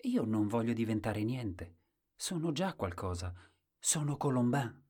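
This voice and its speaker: A man's voice: mid-range voice, animated, measured pace, darkish tone, husky. A man voicing a meek, candid and eager court fool in a storybook tale, simple and earnest in manner.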